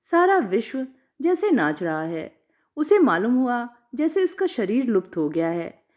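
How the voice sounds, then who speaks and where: A woman with a high voice tells a literary story. Her pitch moves widely as she narrates, giving the reading an animated, expressive delivery. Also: unhurried.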